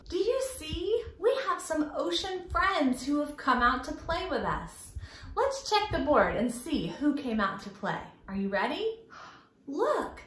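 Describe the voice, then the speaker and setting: A woman in her thirties hosting a storytime for young children, encouraging and expressive, speaking to a camera a short distance away indoors. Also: high-pitched. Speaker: children's library storytime host. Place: small indoor room, echoey. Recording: echoey room.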